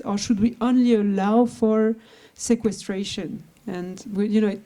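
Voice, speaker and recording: Hesitant woman researcher with a mellow voice. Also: mid-range voice. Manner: thinking aloud, hesitant, many restarts. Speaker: sociology researcher answering questions. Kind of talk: academic Q&A answer.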